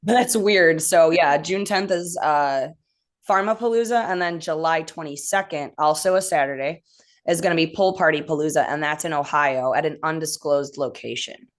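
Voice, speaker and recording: Midwestern woman, deep-pitched, easygoing joking band guest. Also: normal speed. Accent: Midwestern American. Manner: laid-back, joking, self-deprecating. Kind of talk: casual interview chat.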